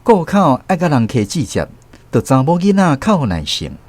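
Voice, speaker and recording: A man's voice: fairly high pitch, wildly swooping pitch, moderate pace, darkish tone. An actor playing a reflective storyteller who narrates his youth in a radio drama, conversational and explanatory, with a Taiwanese accent. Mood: reminiscing. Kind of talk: scripted first-person radio drama narration.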